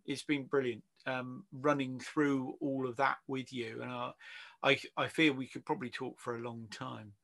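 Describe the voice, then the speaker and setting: A man's voice: medium pitch, a bit bright. A man hosting a casual interview over a video call, rambling, affirming and teasing as he goes. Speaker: interview host.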